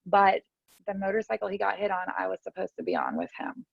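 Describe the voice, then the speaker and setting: A woman talks in a candid, chatty way, full of fillers. Her voice has a gravelly, fry-laden edge. The sound is dry and a bit muffled, with no room behind it. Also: mid-range voice, huge pitch swings, a little fast, no room sound. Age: 30s. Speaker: podcast guest. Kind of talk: casual interview storytelling. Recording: dry, slightly muffled audio.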